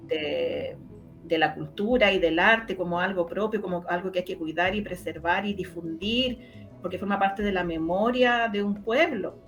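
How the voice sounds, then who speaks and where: A woman's voice: on the low side, normal speed, fairly bright tone, faint music behind. A Chilean woman answering interview questions conversationally, emphatic and repeating phrases for stress. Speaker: interviewed radio drama creator.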